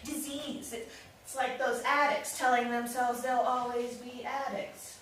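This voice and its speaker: A woman's voice: a little high, level tone, unhurried, fairly bright tone. A woman acting a wounded, defensive, newly separated sister in a staged play reading, candid and emotional. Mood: hurt, bitter. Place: live staged reading in an echoey room. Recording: very echoey room, distant mic, faint noise.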